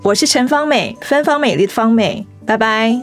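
A woman's voice: on the high side, expressive, normal speed, over music, natural voice. A woman playing an earnest young volunteer. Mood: bright, friendly. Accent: Taiwanese. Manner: earnest, articulate. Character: principled young female volunteer, thoughtful and resolute. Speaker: voice actor in a radio drama. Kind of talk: Mandarin radio drama acting.

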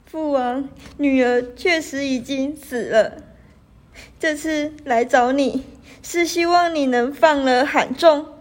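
A young woman playing the gentle, pleading ghost of a princess in an amateur radio drama. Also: high voice, slow. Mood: earnest pleading. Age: young adult. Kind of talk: student radio drama acting.

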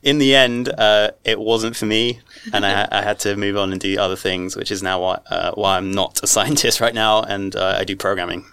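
Deep-voiced young Londoner, hesitant. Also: male voice, little pitch movement, moderate pace, a bit bright, clear, resonant tone. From London, England. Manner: hesitant, many ums and uhs, modest. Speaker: podcast guest. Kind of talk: casual interview answers.

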